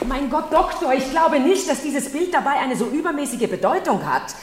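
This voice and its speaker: An imperious middle-aged marchesa in a 1970s radio play. She is theatrical and quick to take offence, her pitch sweeping up and down. Everything rings in a big echoing space. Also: animated. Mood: insistent. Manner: haughty, theatrical, indignant. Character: proud, easily irritated middle-aged Italian marchesa. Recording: very echoey reverberant room, 1970s radio.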